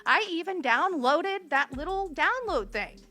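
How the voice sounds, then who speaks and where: A woman activist giving informal, halting public comment at a government meeting, pausing often. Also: very high voice, animated, pushed and bright. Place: county meeting room. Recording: music behind the voice. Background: music.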